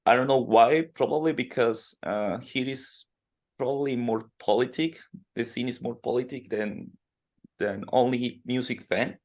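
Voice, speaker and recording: A man from Colombia interviewing a musician, asking slow, halting questions full of fillers. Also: medium pitch, darkish tone. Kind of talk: podcast interview in second language.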